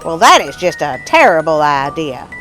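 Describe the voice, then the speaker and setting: A woman's voice: low voice, sing-song, moderate pace, fairly bright tone, faint music behind, pitched below the performer's own voice. A woman voicing a wisecracking, skeptical puppet sidekick who interrupts with snarky questions in a children's story show. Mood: skeptical heckling.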